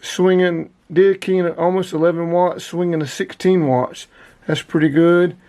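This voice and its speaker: A man's voice: sits high, steady tone, moderate pace, mellow. A man giving a casual, plain-spoken product review as the host, talking informally to his viewers. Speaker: channel host reviewing radio gear.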